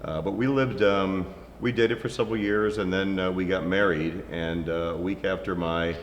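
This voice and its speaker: A middle-aged man in casual conversation, thoughtful and halting, with many pauses as he recalls his life. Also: low-pitched, steady tone, slight background noise. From New Orleans, raised near Philadelphia. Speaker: interviewee. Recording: echoey room with long reverb.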